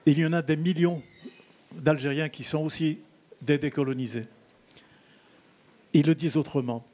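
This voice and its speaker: Audience guy with a somewhat high voice, formal, takes his time. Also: fairly even intonation, moderate pace, warm tone. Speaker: audience member. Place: outdoor town square event.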